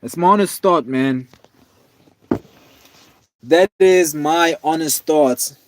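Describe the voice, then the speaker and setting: A man in his twenties, tired, chatting casually with viewers on a live stream in a relaxed, rambling way with lots of fillers. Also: on the high side, takes it slowly, poor audio. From South Africa. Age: 20s. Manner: laid-back, rambling, many fillers, calls viewers man and bro.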